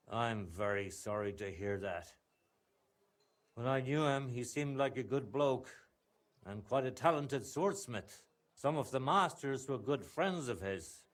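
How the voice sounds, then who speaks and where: An actor playing a chatty, candid middle-aged guild craftsman in a video game. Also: mid-range voice. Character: chatty, candid guild craftsman, old acquaintance of hero's father. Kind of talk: video game dialogue acting.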